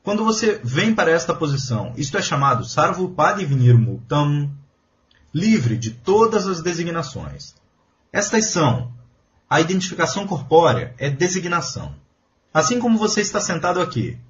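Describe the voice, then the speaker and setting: A man's voice: fairly high pitch, very animated, moderate pace, highs cut off, a little hiss or hum. A man reading a translated spiritual lecture aloud in an earnest, emphatic preaching manner. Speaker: voice-over reader of a translated lecture.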